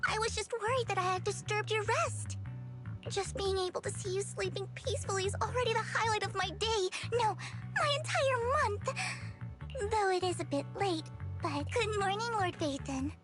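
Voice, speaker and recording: A woman's voice: very high-pitched, moderate pace. A woman voicing a sweet, devoted and formal young woman in a video game, polite and earnest. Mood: flustered, devoted. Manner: polite, earnest, cutesy delivery. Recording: clean game dialogue with music behind.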